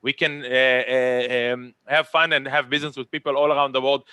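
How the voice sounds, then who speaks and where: A man's voice: medium pitch, flat delivery, fairly quick, pushed and bright, clean tone, no room sound. A man with an Israeli accent moderating a virtual conference panel, chatty and rambling, often repeating words.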